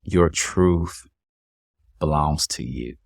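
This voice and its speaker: Real deep-voiced guy, earnest, kinda preachy motivational talk, dry close sound. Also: drawn-out words, vocal fry, dry recording. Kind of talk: reflective interview monologue.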